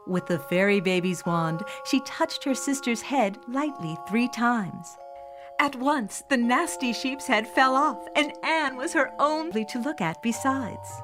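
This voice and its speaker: A woman storyteller reading a scripted fairy tale for children, with music behind her voice. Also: fairly high pitch.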